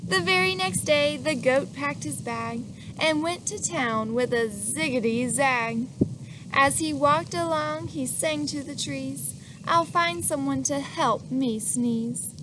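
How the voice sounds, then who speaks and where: Woman storybook reader, high and unhurried, lively with little listeners, noticeable background hiss. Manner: animated, asks the listener questions, acts out sneezes. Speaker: children's book reader. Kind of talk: reading a picture book aloud to children.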